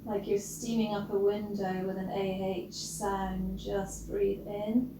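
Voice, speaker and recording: Slow-spoken woman guiding meditation, distant and noisy. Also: mid-range voice, little pitch movement. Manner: gentle, instructional, with long pauses between short phrases. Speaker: yoga or meditation teacher. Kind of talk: guided breathing meditation. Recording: roomy, distant mic with loud background noise.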